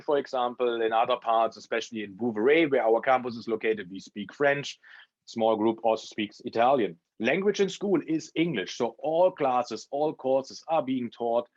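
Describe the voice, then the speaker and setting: A man with a mid-range voice explains things as an academy's guest speaker. His phrasing is careful and measured, in an informational interview style. The recording sounds a little muffled and lo-fi. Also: medium pitch. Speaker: culinary school representative, guest speaker. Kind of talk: informational interview answers at an education fair talk.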